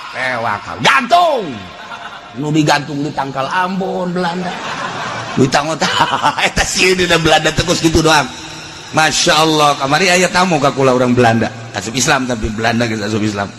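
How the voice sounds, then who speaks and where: A man with a Sundanese accent preaching in an animated, earthy, joking storytelling style. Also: medium pitch, slight background noise. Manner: animated, breaks into shouts and laughter. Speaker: Islamic preacher. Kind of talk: humorous storytelling sermon.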